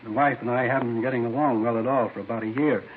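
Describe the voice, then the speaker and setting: An uneasy, down-on-his-luck informant played by a man talks in a medium-pitched voice, halting and earnest. It is vintage radio drama acting on a tinny recording with audible hiss. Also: steady tone, close to an everyday voice.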